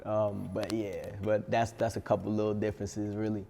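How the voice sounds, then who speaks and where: A man's voice: lowish voice, little pitch movement, moderate pace, gravelly, mellow, long reverb tail. A nineteen-year-old man from Long Island with a slight New York accent, talking casually and slangily as a guest in an interview. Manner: laid-back, slangy, laughs often. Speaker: rapper guest.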